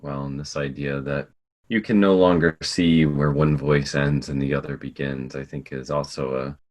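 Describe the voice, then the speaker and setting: A man hosts an education podcast and guides his guests with calm, affirming questions. His voice sits extremely low. He sounds steady and unhurried. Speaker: podcast host. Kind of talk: podcast interview.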